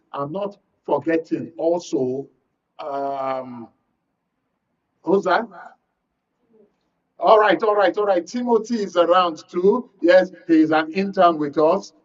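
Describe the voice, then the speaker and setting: A man's voice: a little high, far from the mic. A Nigerian man hosting a live talk show on radio and TV. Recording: dull audio, away from the mic.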